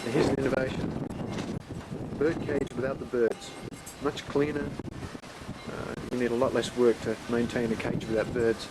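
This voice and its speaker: A man's voice: mid-range voice, little pitch movement, moderate pace, pauses often. A man giving playful, casual commentary on small everyday things while he films a home video. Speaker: amateur home video cameraman. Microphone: camcorder held by the speaker. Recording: noisy old home camcorder audio.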